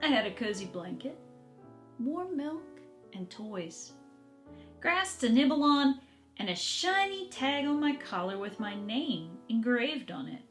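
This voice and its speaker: A woman voicing a longing captive housecat who tells its own story in a children's book, dreamy, deliberate and dramatic. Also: a little high, very animated, faint music behind. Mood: wistful.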